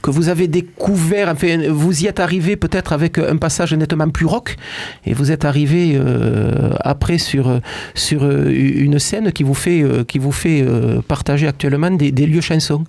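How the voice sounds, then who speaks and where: A man's voice: a little high, moderate pace, gravelly, a little mellow, dry recording. A man hosting a radio talk show from a studio, introducing guests with many hesitations and fillers. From France. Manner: many "euh" fillers and repeated words. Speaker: radio host. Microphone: studio microphone.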